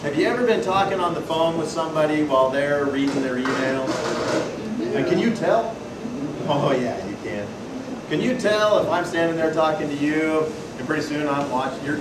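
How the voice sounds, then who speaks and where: A man with a Pacific Northwest accent giving a folksy, lively workshop talk to an audience, telling stories and asking the room questions. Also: on the high side, normal speed. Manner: loud folksy storyteller, asks the room questions. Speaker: presenter at a membership workshop. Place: meeting hall with a live audience. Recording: distant, echoey room recording with loud noise.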